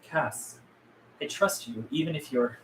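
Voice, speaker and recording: A man's voice: fairly high pitch, measured pace, natural voice. A man reading the part of a guarded, earnest zombie apocalypse survivor at a script table read, terse in manner. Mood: intense. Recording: roomy, distant mic, faint noise.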